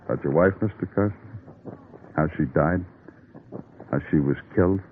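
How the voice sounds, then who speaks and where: A man's voice: very deep voice, normal speed, a little hiss or hum. A man acting as a sardonic, hard-boiled New York police detective in a 1950s noir radio drama, with a clipped, dry, needling delivery. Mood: dry, pressing. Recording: tinny, muffled old radio broadcast.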